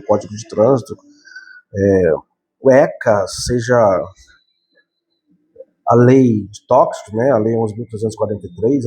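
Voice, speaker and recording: A man's voice: fairly low pitch, warm tone, poor audio. A Brazilian man explaining law in a conversational lecture, often adding tag questions and correcting himself as he goes. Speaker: law teacher.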